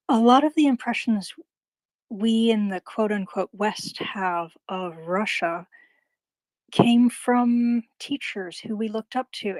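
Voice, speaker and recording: A woman's voice: medium pitch, no room sound. A woman in a casual online audio room, thanking the hosts and retelling a talk, full of fillers and restarts. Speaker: listener asking a question.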